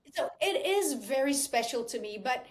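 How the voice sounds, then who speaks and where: A woman whose first language is Danish speaks in a somewhat high voice as a guest on a podcast. She talks about her music in an earnest, heartfelt way and often restarts her sentences. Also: lively intonation, moderate pace. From Denmark. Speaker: singer-songwriter guest. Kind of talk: podcast interview.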